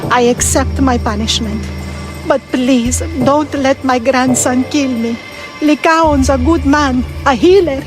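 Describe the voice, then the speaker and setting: Sorry old oracle grandma, humble and pleading, high voice, game cutscene w music. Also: female voice, unhurried, low-quality recording. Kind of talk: video game cutscene dialogue.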